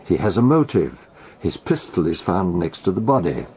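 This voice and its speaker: A British actor playing a shrewd, measured amateur detective in a radio drama, dry and probing as he questions and corrects. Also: low voice, sing-song, warm tone.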